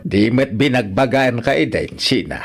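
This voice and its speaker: A man's voice: mid-range voice, expressive, normal speed, creaky, faint music behind. A man with an Ilocano accent playing a stern, indignant elderly father who scolds and repeats himself in a radio drama. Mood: angry scolding. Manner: scolding, emphatic, repeats words.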